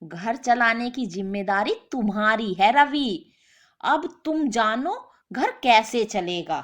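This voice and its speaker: A woman's voice: a little high, lively intonation, takes it slowly. A woman voicing a fed-up working wife in a moral story, reproachful and sarcastic. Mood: firm, fed up.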